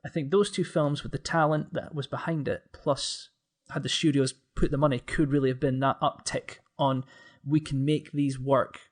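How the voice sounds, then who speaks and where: A man's voice: medium pitch, fairly even intonation, normal speed, hardly any pauses. A man talking as a podcast guest in a casual discussion.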